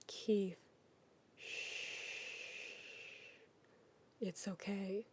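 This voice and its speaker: A woman voicing a calm, tender man who soothes a panicking friend in a hushed, breathy, coaxing way. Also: medium pitch, extremely slow, slight background noise. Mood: soothing.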